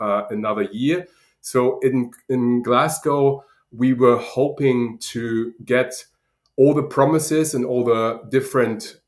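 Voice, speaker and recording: Man, German accent, middle-aged, halting, many fillers, interview guest. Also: medium pitch. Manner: halting, many ums and uhs. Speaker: guest researcher. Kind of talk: podcast interview.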